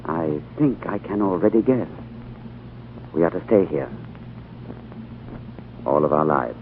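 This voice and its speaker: An actor playing an earnest, awestruck traveler in a 1940s radio drama, with a dramatic, literary delivery. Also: male voice, low-pitched, expressive, measured pace, a little hiss or hum. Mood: awed realization. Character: earnest, awestruck male traveler narrating his tale. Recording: tinny 1940s radio recording under music.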